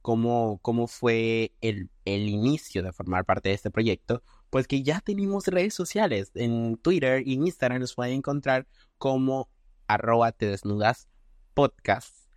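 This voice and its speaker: A young man with a Venezuelan accent hosts a relaxed podcast conversation. He speaks in a mid-range voice and keeps stopping to restart his phrases. The sound is dry and close, with no room echo. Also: medium pitch. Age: 20s. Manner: halting, many restarts and fillers. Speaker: podcast host. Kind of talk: casual podcast chat.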